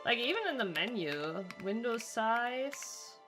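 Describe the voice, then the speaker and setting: A woman game streamer chatting casually while she plays, rambling and thinking out loud. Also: on the high side, expressive, slow pace. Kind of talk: casual livestream chat while playing. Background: game music.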